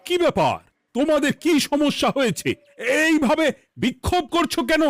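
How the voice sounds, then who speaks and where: A man's voice: extremely high pitch, very animated, moderate pace, no echo. A man playing a fretful, easily exasperated cartoon king with a theatrical, exclaiming delivery. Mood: puzzled. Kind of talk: Bengali cartoon dubbing.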